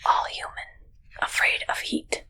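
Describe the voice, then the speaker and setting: A woman voicing a curious, suspicious alien who poses as a human medical examiner in an ASMR roleplay, speaking in halting, clipped, detached phrases. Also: bright, forceful tone. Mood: puzzled, probing.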